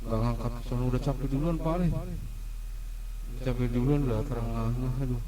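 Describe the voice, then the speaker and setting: A man's voice: mid-range voice, fairly even intonation, a little fast, crowd noise, rough recording. A man with an Indonesian accent giving a casual, explanatory introduction as a podcast host, often posing rhetorical questions. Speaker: host of a school history podcast.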